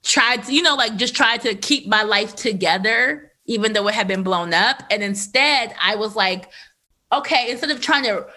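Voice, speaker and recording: A woman in her thirties, a podcast host, telling a candid personal story in a loose, animated way with lots of fillers. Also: a little high, moderate pace. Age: 30s. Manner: animated, filler-heavy, laughs often. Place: Los Angeles area.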